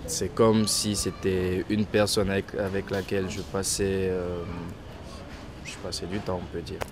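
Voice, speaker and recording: A young man from Guinea, a painter, answering interview questions in a casual, hesitant way with many restarts and softly trailing phrases. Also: low voice, level tone, unhurried. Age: young adult. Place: outdoors in front of a painted backdrop, street traffic nearby. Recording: outdoor street noise behind voice.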